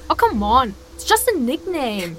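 An actress playing a curious, playful young traveler in a fantasy audio drama, talking in a breezy, colloquial, questioning way. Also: female voice, high voice, sing-song, measured pace, slight background noise. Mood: teasing, curious.

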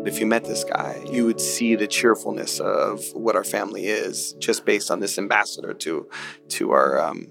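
A man giving a personal reminiscence in a halting, conversational way. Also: on the low side, gravelly. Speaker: interviewee remembering his late father. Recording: music bed behind speech.

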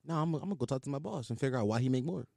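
A man's voice: mid-range voice, vocal fry, no room sound, studio quality. A man hosting a casual podcast chat, talking with a slight stutter and laughing often. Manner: quick, lively, laughs often. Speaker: podcast host.

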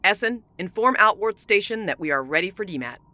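A woman's voice: mid-range voice, animated, brisk, bright, forceful tone, no room sound. A woman playing a weary, firm starship captain in a science fiction audio drama, terse and commanding.

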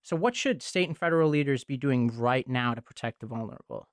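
A man co-hosting a podcast, opening with a joke and then delivering the week's news in a steady, factual way. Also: medium pitch, fairly quick, dry recording, studio quality. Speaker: podcast co-host. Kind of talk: reading news summary.

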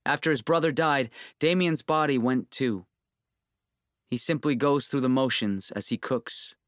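A man's voice: medium pitch, a little slow, fairly bright tone, no room sound, studio quality. A man narrating a literary audiobook in a restrained, solemn style.

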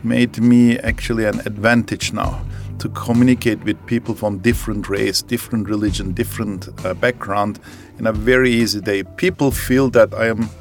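Gravelly low-voiced executive man. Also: fairly even intonation, normal speed, faint music behind. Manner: earnest, slightly broken phrasing. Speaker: company executive. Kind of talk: interview answers.

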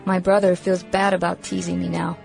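A woman's voice: fairly low pitch, flat delivery, fairly quick, vocal fry, darkish tone, faint music behind, rough recording. Woman acting a plucky young boy reading his diary. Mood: earnest, matter-of-fact. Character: plucky young boy recovering from shark attack, earnest, innocent. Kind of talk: radio play diary monologue.

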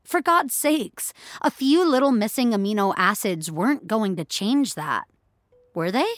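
A woman's voice: medium pitch, lively intonation, a little slow, no echo. A woman narrating scripted fiction as a storyteller.

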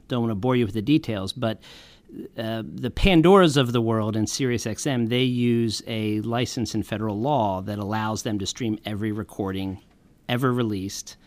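A man's voice: medium pitch, normal speed, no room sound. A man from a music royalty organization, explaining earnestly in a podcast panel interview, with frequent restarts.

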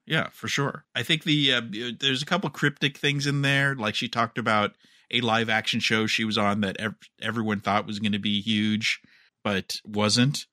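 A man's voice: fairly low pitch, little pitch movement, moderate pace, bright, forceful tone, dry recording, studio quality. A man co-hosting a podcast in casual chat, rambling a little and often repeating words as he goes. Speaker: podcast co-host. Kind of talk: casual chat about TV and fandom.

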